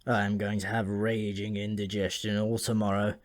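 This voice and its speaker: A man's voice: fairly low pitch, little pitch movement, moderate pace, no echo, pitched below the performer's own voice, lightly acted. Ancient dwarf for a fantasy audiobook , acted by a man: dyspeptic, gloomy, rambling, grudgingly friendly, recorded dry. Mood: gloomy, grumbling.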